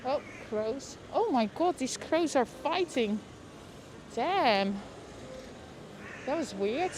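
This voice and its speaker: A woman with a Dutch accent chats her way through a live outdoor walk, her voice high and her pace unhurried. She talks straight to her viewers on a lapel mic, with clear traffic and street noise all around. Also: expressive, darkish tone. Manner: chatty, reacts aloud, talks to viewers by name. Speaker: livestream walking-tour host. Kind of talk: casual live walking commentary. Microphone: lapel mic while walking.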